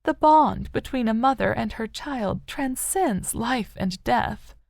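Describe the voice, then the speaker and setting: A woman reader performs an older mother in an audiobook novel, haunted by loss but clinging to hope. Her voice is lifted high and soft, with some creak in it. She speaks tenderly and sorrowfully, in a close, dry recording. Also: animated, slow pace, dry recording, full character voice. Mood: sorrowful, resolute. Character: grieving older mother, wistful, haunted, still hopeful.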